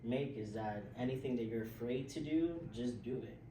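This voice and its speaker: A young man from the South Bronx, with Spanish as his first language, talks through his answers in an easygoing, chatty way and laughs often. His voice sits at a middle pitch. He is recorded a little off-mic in an echoey room with steady background noise. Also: moderate pace, far from the mic. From South Bronx, New York. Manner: relaxed, chatty, many "like" fillers, laughs often. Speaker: interview guest, healthcare worker. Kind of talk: casual interview answers.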